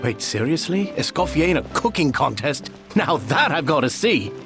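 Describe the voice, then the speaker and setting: A man voicing an eager, excitable young townsman in a video game. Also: on the high side, normal speed, soft background music. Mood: amazed, eager. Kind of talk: scripted video game crowd dialogue.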